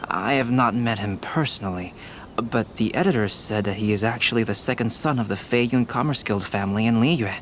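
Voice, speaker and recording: A man playing a calm, analytical young artist in a video game, speaking in a measured, formal and reserved way. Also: on the low side, a little hiss or hum, natural voice. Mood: composed. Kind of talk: video game character acting.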